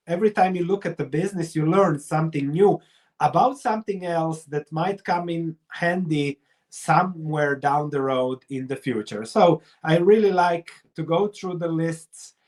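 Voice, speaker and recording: Higher-voiced middle-aged man, Croatian accent. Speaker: investor and researcher, interview guest. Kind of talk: explanatory interview talk.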